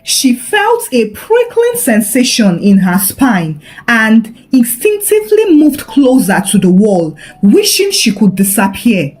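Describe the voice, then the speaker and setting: High-voiced woman telling a dramatic folktale. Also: huge pitch swings, takes it slowly, hardly any pauses, darkish tone, soft background music, poor audio. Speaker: narrator. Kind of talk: scripted folktale storytelling narration.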